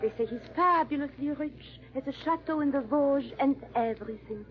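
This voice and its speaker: A woman's voice: high-pitched, moderate pace, close to an everyday voice. A woman playing a pushy, effusive society matchmaker in a 1940s radio drama, with theatrical, insistent delivery. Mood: gushing, insistent. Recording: dull, muffled old radio recording.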